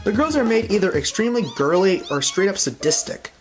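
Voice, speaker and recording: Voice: mid-range voice, sing-song, fairly quick, music in the background. A reviewer giving blunt, opinionated scripted commentary with a sardonic manner. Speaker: reviewer, host of an online review show.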